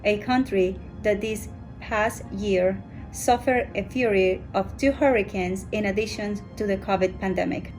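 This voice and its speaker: Woman, mid-pitched voice. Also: a little mellow, rough recording. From Honduras. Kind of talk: prepared personal testimonial.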